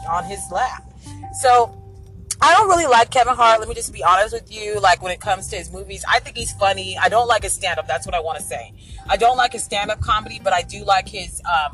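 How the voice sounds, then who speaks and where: A woman with a raspy voice hosting an online radio show, giving frank, chatty opinions on the news. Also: mid-range voice, animated, brisk, a bit bright, soft background music, poor audio. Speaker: internet radio host. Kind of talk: casual talk-radio commentary.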